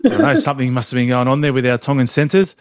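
A man's voice: mid-range voice, no room sound. Chatty male co-host, dry close sound. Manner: chatty, jokey, quick to laugh. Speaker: interview co-host. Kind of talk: casual chatty interview.

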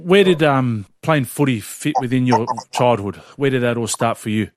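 A man's voice: medium pitch, lively intonation, no room sound. Chatty male co-host, dry close sound. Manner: chatty, jokey, quick to laugh. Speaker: interview co-host. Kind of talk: casual chatty interview.

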